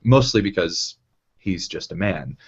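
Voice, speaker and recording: Very deep-voiced man, dull lo-fi recording. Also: lively intonation, unhurried, slightly rough. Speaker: cast or crew member on a panel Q and A. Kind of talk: casual fan chat.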